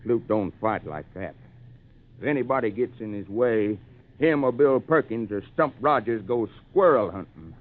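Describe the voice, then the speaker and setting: A man's voice: lowish voice, lively intonation, normal speed, natural voice. Man as a sober country doctor on tinny radio. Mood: grim. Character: sober, knowing backwoods doctor, cautioning friend. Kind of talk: 1940s radio drama acting. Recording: thin, band-limited 1940s radio recording.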